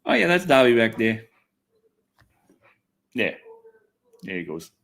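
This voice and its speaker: A man's voice: medium pitch, big pitch swings, slow, gravelly, fairly bright tone. A man hosting a casual livestream, chatting to viewers in a loose, rambling way with some swearing. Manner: rambling, loose, expletive-laden. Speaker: livestream host.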